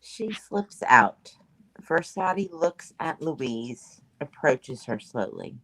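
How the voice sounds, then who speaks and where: A woman's voice: low-pitched, expressive, drawn-out words, a bit bright, dry recording, poor audio. A woman reading stage directions as scripted narration at a play table read.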